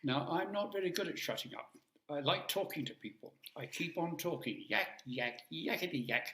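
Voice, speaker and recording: An older man rambles through a light-hearted talk, full of jokes and asides. His voice sits a little high for a man. The room around him is noticeably echoey. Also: normal speed, sharp tone, a little hiss or hum. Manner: chatty, jokey, rambling with asides. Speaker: guest speaker. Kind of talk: informal talk to an audience.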